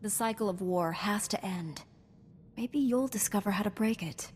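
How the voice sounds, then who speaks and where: An actress playing a calm, principled Jedi warrior in a video game, measured and serious. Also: female voice, medium pitch, long reverb tail, slight background noise, natural voice. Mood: earnest. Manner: measured, serious, gently admonishing.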